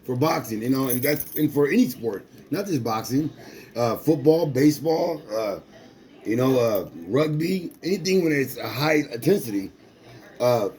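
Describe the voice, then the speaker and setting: A man in his forties, a boxer, speaking at an ordinary middle pitch. He rambles in a loose, streetwise way, looping back on himself and restarting his sentences as he talks to a companion. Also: lively intonation, moderate pace, darkish tone. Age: 40s. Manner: loose, repetitive, streetwise slang with frequent restarts. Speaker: amateur boxer. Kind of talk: casual rambling talk to a companion.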